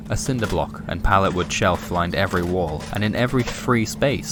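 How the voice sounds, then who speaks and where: A man narrating scripted noir comic fiction in a deadpan, wry manner. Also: fairly low pitch, noisy background. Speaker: narrator and presenter. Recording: music behind the voice. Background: music.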